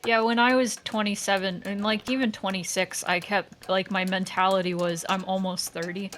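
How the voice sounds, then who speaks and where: A woman in her thirties chatting casually and warmly on a livestream. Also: medium pitch, fairly even intonation, normal speed, faint music behind. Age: 30s. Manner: friendly, laughs easily. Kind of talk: casual chat.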